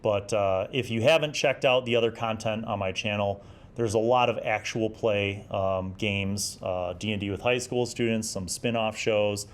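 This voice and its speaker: Middle-aged male YouTube host, lowish voice, off-the-cuff and conversational, browsing and commenting as he goes. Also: steady tone, moderate pace. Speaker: YouTube host reviewing vintage gaming magazines. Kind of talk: casual commentary, thinking aloud while browsing.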